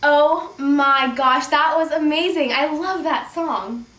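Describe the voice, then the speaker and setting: A starstruck female judge who gushes over every act, as a woman puts her on. Her voice is very high, slow and bright, and it echoes from a distance. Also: slight background noise. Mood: gushing. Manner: breathless gushing. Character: gushing starstruck female talent-show judge.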